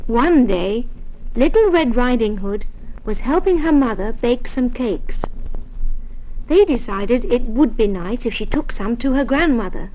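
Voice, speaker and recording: A woman reading a children's storybook aloud in a gentle, deliberate way. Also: a little high, low-quality recording. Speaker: story reader. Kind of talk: scripted storybook narration for children.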